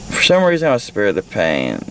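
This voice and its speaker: An American man with a low voice and a gravelly fry rambles casually through a book review. He is crude and irreverent, swearing freely and jumping between his own remarks and bits read aloud. There is faint noise behind him in the car. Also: huge pitch swings, a little fast, a bit bright, low-quality recording. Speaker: vlogger giving a book report. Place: inside a parked car. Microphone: handheld phone or camera at arm's length. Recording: handheld recording with faint background noise.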